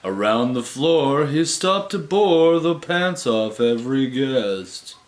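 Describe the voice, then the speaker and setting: A man giving an expressive, rhythmic and playful theatrical reading of comic verse. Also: medium pitch, slow. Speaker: reader of comic poetry on own channel.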